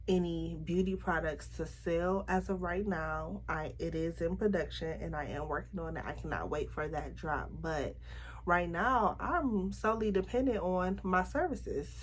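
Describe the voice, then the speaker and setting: A young woman vlogging to camera about her makeup business, chatty and frank, her voice a little raspy as she gets over a cold. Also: on the low side, slight background noise. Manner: chatty, frank, drawn-out emphasis. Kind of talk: casual talk to camera.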